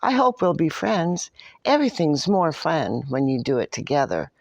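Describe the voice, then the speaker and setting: A woman's voice: deep voice, sing-song, normal speed, vocal fry, darkish tone, dry recording, heavily acted. A woman voicing a friendly, talkative female dog from a storybook, cheerful, apologetic and eager to please. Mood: cheerful, chatty. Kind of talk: storybook character voice.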